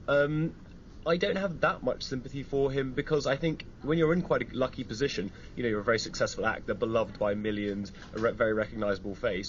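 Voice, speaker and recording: A man, a magazine editor, speaking as a guest on a radio discussion, articulate and opinionated with dry wit. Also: medium pitch. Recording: dull broadcast audio with faint hiss.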